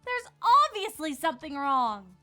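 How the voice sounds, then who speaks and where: A woman voicing a young, bookish and easily exasperated unicorn princess, fretful and expressive, in a cartoon fan fiction audio drama. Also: high voice, unhurried, full character voice. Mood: despairing. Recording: music behind the voice.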